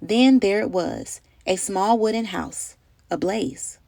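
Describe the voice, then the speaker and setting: A woman's voice: medium pitch, slow pace, creaky, warm tone, no echo. A woman reading a children's story aloud in a dramatic, carefully phrased narrating style with emphatic delivery. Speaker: storybook reader.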